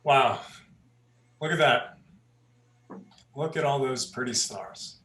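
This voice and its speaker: An actor playing a laid-back young drinker, lazy and hesitant, in a dramatic script reading with echoey sound. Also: male voice, mid-range voice, slow pace, sharp tone, slight background noise. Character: laid-back beer-drinking young man chatting up girls. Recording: roomy, echoing livestream sound.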